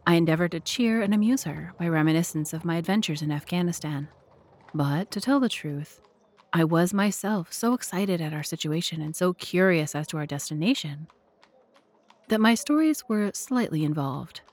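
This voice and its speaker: A woman's voice: on the low side, animated, moderate pace, a little mellow. A woman narrator and host reading scripted narration for an audio drama.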